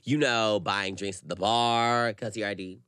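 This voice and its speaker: A man's voice: fairly low pitch, steady tone, unhurried, very noisy. A man in his twenties co-hosting a chat show, bantering casually and gossipily in a campy, sassy, slangy way.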